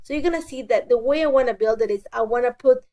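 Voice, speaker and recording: Woman, stuffy with a cold, mellow higher voice, casual craft voice-over, chatty and self-correcting. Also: fast. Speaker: scrapbooking crafter.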